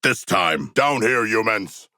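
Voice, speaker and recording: A man voicing a formal, bloodthirsty yet childlike humanoid shark warrior for a video game, with gravelly, stilted, grandiose speech. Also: lowish voice, expressive, takes it slowly, bright, forceful tone, no echo, clean studio sound, full character voice. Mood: composed, commanding.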